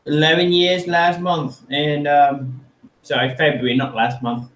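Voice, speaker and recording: A man with a mixed English and American accent and a slightly raised pitch, joking at his own expense. His voice sounds roomy, as if he is sitting back in the room. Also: flat delivery, unhurried, fairly bright tone. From England. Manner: dry, self-deprecating humour. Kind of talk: casual chat.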